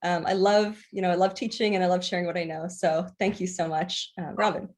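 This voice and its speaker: Fast-talking woman from the Bahamas. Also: lowish voice, steady tone, a little mellow. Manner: earnest, frequent filler phrases. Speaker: naturopathic doctor guest. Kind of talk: casual interview talk.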